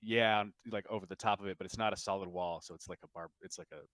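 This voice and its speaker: Brief: male game master, lowish smooth voice, informal explanatory narration of a tabletop session. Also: fairly even intonation, fast talker, a bit bright, no echo.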